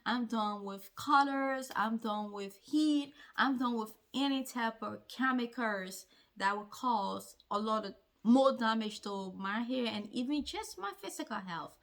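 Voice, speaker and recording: A woman's voice: on the high side, a little slow, hardly any pauses, a bit bright. A woman vlogger telling a personal story to camera in an earnest, emphatic way, repeating phrases to drive points home. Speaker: hair-care vlogger.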